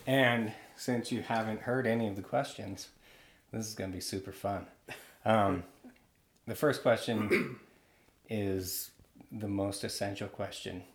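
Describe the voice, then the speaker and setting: A man hosting a casual interview podcast, relaxed and joking as he pushes his guest for deeper answers. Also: measured pace, long pauses, echoey room. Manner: relaxed, joking, probing questions. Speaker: podcast host and bar owner.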